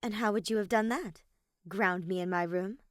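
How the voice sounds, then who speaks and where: A woman's voice: medium pitch, normal speed, no echo, studio quality, natural voice. A woman voicing a determined, anxious teenage girl plotter in a story reading, with an earnest, emphatic delivery. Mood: teasing, challenging.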